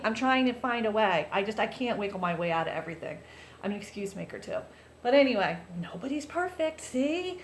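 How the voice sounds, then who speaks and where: Loud-talking woman vlogger in a roomy room. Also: fairly high pitch. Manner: loud talker, earnest and chatty. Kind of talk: casual confessional talk to viewers. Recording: roomy echo, faint background noise.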